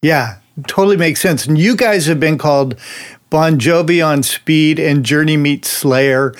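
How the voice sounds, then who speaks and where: A man's voice: on the high side, measured pace. A man hosting an interview, speaking in an enthusiastic, friendly way and laughing often. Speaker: interviewer and show host. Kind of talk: interview host.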